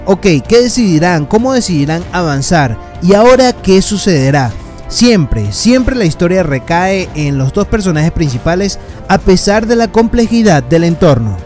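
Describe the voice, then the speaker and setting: Earnest high-voiced man reviewing a film. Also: sing-song, moderate pace, a little mellow, soft background music. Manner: earnest, addresses viewers as friends. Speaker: film reviewer, channel host. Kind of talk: casual spoken film review. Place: voice-over on film clips.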